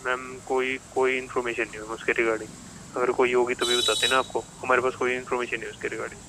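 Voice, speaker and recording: A North Indian man answers an enquiry on an office helpline. He talks quickly in a flat, even tone and repeats himself, often saying madam. The phone line is tinny, with clear noise behind him. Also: medium pitch. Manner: repetitive, says madam often. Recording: noisy, tinny phone call recording.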